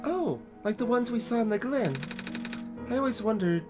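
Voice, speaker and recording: A man voicing a young, innocent game hero who speaks in a soft, wondering way. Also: very high-pitched, expressive, normal speed, over music, voice pushed up. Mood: curious.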